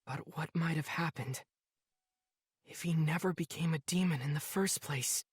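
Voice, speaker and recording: Earnest young swordsman, husky voice. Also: fairly high pitch, no room sound, studio quality, heavily acted. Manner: earnest, reflective. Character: earnest, compassionate teenage boy swordsman recalling his trials. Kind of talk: scripted narration recounting memories.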